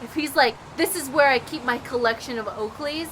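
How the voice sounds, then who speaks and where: A woman's voice: high-pitched, a little fast, fairly bright tone, a little hiss or hum, poor audio. A young woman giving sarcastic, laughing commentary while playing a game with a friend. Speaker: let's play host. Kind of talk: casual gameplay commentary.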